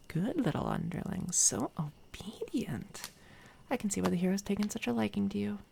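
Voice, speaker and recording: A woman voicing a smug, menacing villainess doppelganger in a soft-spoken, taunting ASMR roleplay, often slipping into a whisper. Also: medium pitch, fairly even intonation, gravelly, a little hiss or hum. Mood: condescending, taunting. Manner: taunting, condescending, unhurried. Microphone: close binaural microphone.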